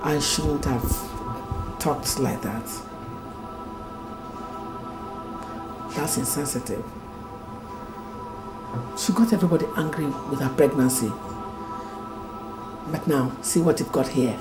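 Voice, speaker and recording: An actress playing a frank, teasing middle-aged aunt in a Nollywood film, over background music. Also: female voice, a bass voice, a little slow, long pauses, poor audio. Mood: apologetic.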